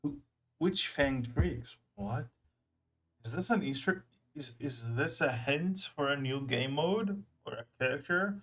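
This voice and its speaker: A man hosting a reaction video with casual, excitable game commentary, often repeating himself mid-thought. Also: medium pitch, measured pace, low-quality recording.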